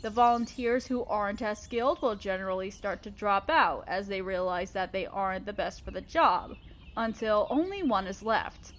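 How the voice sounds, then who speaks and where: A woman playing a gentle, motherly spider queen in a scripted audio roleplay, speaking tenderly and patiently to the listener. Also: mid-range voice, normal speed, natural voice. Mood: thoughtful, explaining. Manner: tender, patient, softly explaining.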